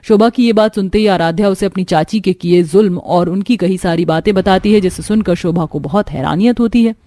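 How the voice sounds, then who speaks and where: A woman's voice: medium pitch, fairly quick, mellow, dry recording. A woman narrating a scripted cartoon story. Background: faint music.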